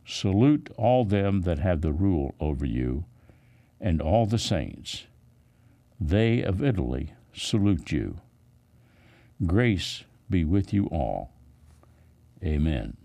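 A man reading the Bible aloud as a pastor, in a deliberate, solemn, phrase-by-phrase delivery. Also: low voice, big pitch swings, warm tone, no echo. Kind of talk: measured Bible reading with brief commentary.

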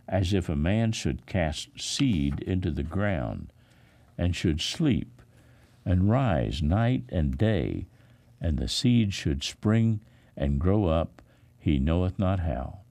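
A man with a low, dark voice reads scripture in a solemn pastoral style. His phrasing is measured and emphatic, with a lively rise and fall in pitch and a gravelly creak at the edges. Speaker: pastor reading scripture aloud. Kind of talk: solemn scripture reading for broadcast.

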